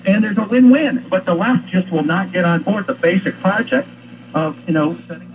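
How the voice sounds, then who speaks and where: A man's voice: sits high, moderate pace. A man giving political talk radio commentary, heard through an old radio. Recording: tinny noisy vintage radio playback.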